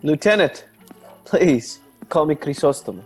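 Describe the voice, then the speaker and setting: An actor playing an earnest, courteous young gentleman in a radio drama, troubled and polite. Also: fairly high pitch, expressive, a little slow, husky, soft background music. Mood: shaken, earnest.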